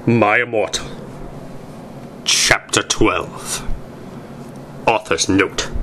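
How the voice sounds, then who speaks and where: A man's voice: fairly low pitch, extremely slow, pushed and bright, slight background noise. A man narrating fan fiction aloud in a theatrical reading style.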